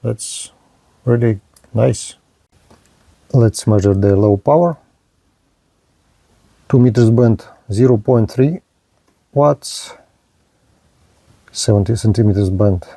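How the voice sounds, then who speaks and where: A man's voice: lowish voice, slow, creaky, pauses often, mellow, no echo. A man narrating a hands-on radio equipment test as a reviewer, speaking in short clipped phrases. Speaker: product reviewer.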